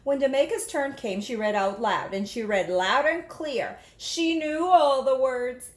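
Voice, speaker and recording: A woman teacher reading a storybook aloud to children in an expressive, instructive manner. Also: high voice, a little slow. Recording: echoey room.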